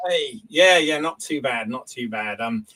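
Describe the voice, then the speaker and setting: Guy with a cold, a bit hoarse, chatting on a stream, lots of ums. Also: medium pitch, a little slow. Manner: relaxed, hesitant, many ums. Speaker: guest. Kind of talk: casual chat.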